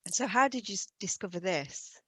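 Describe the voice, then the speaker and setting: An enthusiastic woman hosts a video call interview. Her voice is close and dry, with no room sound. She sits at a middle pitch and heaps praise on her guest. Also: expressive, a little fast, breathy edge, no echo. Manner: warm, enthusiastic, effusive praise. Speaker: host, hypnosis trainer.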